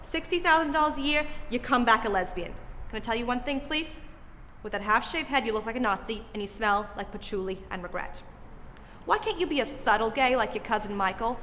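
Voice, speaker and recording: A woman playing a shrill nagging mother. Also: fairly bright tone, long reverb tail, slight background noise. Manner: shrill, scolding, sarcastic. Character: exasperated nagging middle-aged mother.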